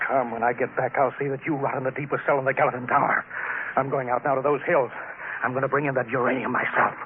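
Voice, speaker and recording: An actor playing a bullying, explosive colonial bureau chief in a 1950s radio drama. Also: male voice, medium pitch, little pitch movement, fast, lightly acted. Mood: angry. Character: blustering tyrannical male colonial boss. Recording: scratchy tinny old radio broadcast.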